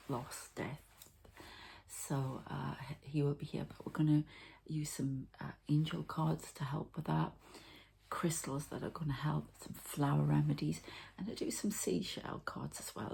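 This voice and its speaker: A woman hosting a whispered ASMR healing session, speaking in a soft, breathy, hesitant and meandering way. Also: low voice, a little hiss or hum. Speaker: host, spiritual healer.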